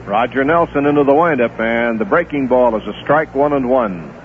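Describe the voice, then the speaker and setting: A man calling a baseball game play-by-play on radio, steady and unhurried, filling the gaps between pitches with stats and notes on the players. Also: a little hiss or hum. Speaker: play-by-play announcer. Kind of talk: live baseball radio commentary. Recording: tinny 1970s AM radio broadcast.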